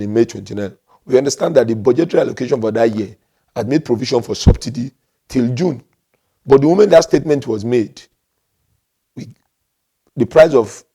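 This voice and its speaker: A man with a Nigerian accent giving political commentary in a measured, emphatic manner, repeating phrases for stress. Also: mid-range voice, warm tone.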